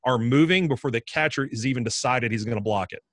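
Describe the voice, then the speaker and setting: A man gives steady coaching advice on a video call. The sound is close and dry, with no room echo. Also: medium pitch, quick pace. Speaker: baseball coach. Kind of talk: video call panel discussion.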